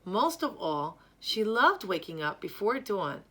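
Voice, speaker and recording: A woman reading a children's story aloud in a measured, careful, expressive way. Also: medium pitch. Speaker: storyteller reading a picture book.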